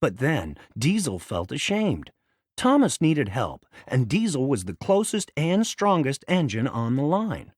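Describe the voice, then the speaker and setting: Very animated male storybook narrator. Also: mid-range voice, measured pace, breathy edge, no room sound, clean studio sound. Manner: dramatic, expressive. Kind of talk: scripted children's read-along narration.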